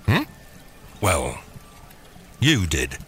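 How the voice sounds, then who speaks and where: A man's voice: deep voice, wildly swooping pitch, very slow, fairly bright tone, husky, exaggerated voice. An actor playing a bumbling, evasive middle-aged father turned crime boss in an audio comedy, stammering and hesitating as he tries to placate. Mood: placating, defensive. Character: bumbling, evasive long-absent dad turned gangster boss. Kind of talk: audio comedy drama acting.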